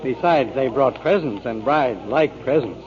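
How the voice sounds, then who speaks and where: An actor playing a genial, philosophical waterfront friend with an eloquent, mannered delivery in a 1940s radio drama. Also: male voice, mid-range voice, expressive, a little slow, natural voice. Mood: earnest, gentle. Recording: muffled 1940s radio recording.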